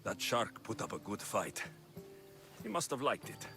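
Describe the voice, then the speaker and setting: A man's voice: fairly low pitch, expressive, measured pace, bright, forceful tone, soft background music. A man playing a confident young Greek mercenary hero in a video game, with a dry, wry and direct manner. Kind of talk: video game dialogue acting.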